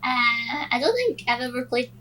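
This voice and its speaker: A woman's voice: a little high, very animated, normal speed, pushed and bright. A young adult woman in casual podcast chat, with hesitant, filler-heavy phrasing. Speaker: podcast panelist.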